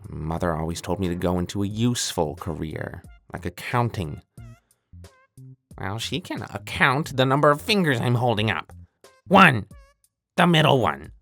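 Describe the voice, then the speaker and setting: A man's voice: on the low side, expressive, normal speed, vocal fry, fairly bright tone. A man playing a self-pitying, petulant evil mad scientist in a comedic audio skit, stammering and melodramatic. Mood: dejected, whiny. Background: creepy music.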